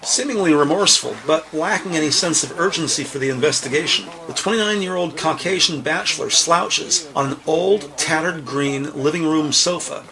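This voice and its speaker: A man's voice: on the high side, normal speed, few pauses, crowd noise, low-quality recording. A man narrating a scripted police drama in a precise, descriptive manner. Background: music.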